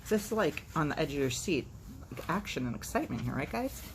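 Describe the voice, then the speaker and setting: A woman's voice: low-pitched, very animated, brisk. A woman hosting storytime, reading expressively and dropping in playful, sardonic, chatty asides. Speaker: storytime host. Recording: faint background noise.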